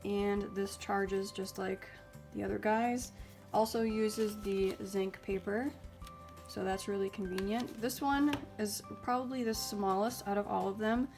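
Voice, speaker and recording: A woman's voice: medium pitch, fairly even intonation, a little slow, music in the background. Chatty young woman, a little stuffy. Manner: chatty and upbeat, with frequent ums and likes. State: slightly raspy and stuffy, getting over illness. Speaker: YouTube host reviewing mini printers. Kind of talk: casual product review vlog.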